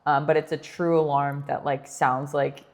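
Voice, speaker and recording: Woman co-host, thirties, low voice, chatty and laughing, roomy reverb. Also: level tone, normal speed, darkish tone. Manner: chatty, laughs often, many fillers. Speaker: podcast co-host. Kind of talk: casual chat.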